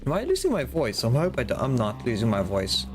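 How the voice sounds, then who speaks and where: A man at his streaming setup, talking in a loose, rambling way as he plays. His voice sits at an ordinary middle pitch, and faint game music runs underneath. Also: huge pitch swings, brisk. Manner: rambling and chatty, talks to chat and to himself. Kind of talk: casual live-stream chat while playing.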